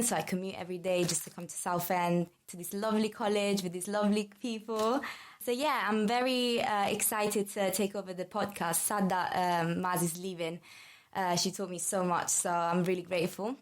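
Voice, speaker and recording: A woman in her twenties from Italy with an Italian accent, chatting casually on a podcast as a college marketing manager, friendly and full of fillers. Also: mid-range voice. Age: 20s.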